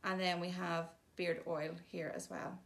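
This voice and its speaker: A woman's voice: on the low side, level tone, a little slow, creaky, a bit bright. A woman giving a chatty, casual demonstration of bathroom products, explaining each one plainly with frequent ums. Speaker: shop presenter showing products.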